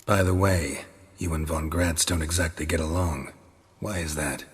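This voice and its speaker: An actor playing a terse, world-weary mutant monster hunter in a video game, deadpan and blunt. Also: male voice, extremely low pitch, normal speed, slightly rough, full character voice. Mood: dry, probing. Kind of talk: video game character acting.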